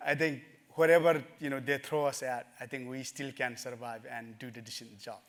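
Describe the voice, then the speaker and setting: A middle-aged man from Nepal, telling his life story in a summit talk, earnest and halting, with many fillers. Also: medium pitch, steady tone. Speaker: speaker at a summit. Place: conference stage.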